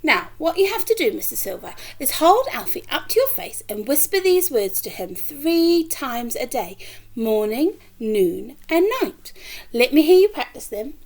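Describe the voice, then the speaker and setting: Storybook older male neighbour, played by a woman: confident, eager, instructive, emphatic, high and very animated. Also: measured pace, heavily acted. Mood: earnest, instructing.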